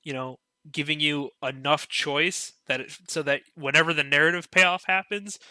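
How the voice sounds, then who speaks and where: A man you'd hear on a hobbyist podcast, sharp-edged voice in a dry space, meandering eagerly through his point. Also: a little high, steady tone, moderate pace, dry recording. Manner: rambling, peppered with filler "like". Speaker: podcast panelist. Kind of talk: casual discussion.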